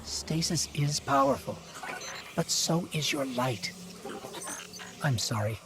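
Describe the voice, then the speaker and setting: An actor playing a small, loyal robot companion in a video game, a man speaking gently and earnestly. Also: a little high, huge pitch swings, slow pace, creaky, loud music behind the voice, full character voice. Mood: sincere, apologetic. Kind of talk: scripted video game cutscene acting.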